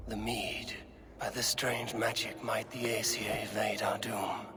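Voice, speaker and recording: An actor playing a proud, scheming Norse god in a video game, with a measured, persuasive manner. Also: male voice, fairly low pitch, flat delivery, sharp tone. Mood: intimate, persuasive. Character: smooth-talking, fateful Norse god in disguise, proud schemer. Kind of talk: dramatic video game acting. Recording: game cutscene with clear background noise.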